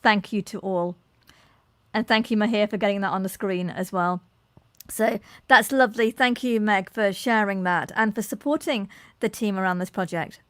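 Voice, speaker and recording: A woman's voice: medium pitch, moderate pace, a bit bright, clear, resonant tone, dry recording. A woman hosting a live online panel, effusive, with frequent restarts. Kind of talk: enthusiastic live-stream moderating.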